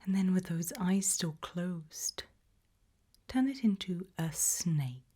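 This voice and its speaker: Woman doing asmr questionnaire, low soft whisper, super breathy, kinda creepy and deliberate. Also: animated. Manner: slow, deliberate, gently ominous interviewer. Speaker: ASMR roleplay host.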